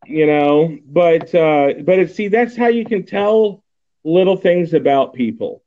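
Forties, Texan man, casual podcast host, rambling delivery, slightly high voice, phone-quality audio. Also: animated, a little slow. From Texas. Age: 40s. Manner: rambling, many fillers and restarts. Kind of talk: casual chat. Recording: tinny phone-like line.

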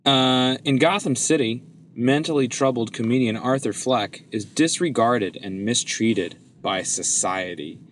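A man co-hosting a podcast in casual chat, chatty and quick with jokes. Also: mid-range voice, a little hiss or hum. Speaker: podcast co-host.

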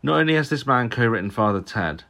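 A man's voice: medium pitch, animated, quick pace, vocal fry, fairly bright tone. A man hosting a podcast, eager and admiring, asking long questions with hesitant repetitions. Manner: eager, fan-like, hesitant with repeated words. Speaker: podcast host. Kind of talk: casual interview.

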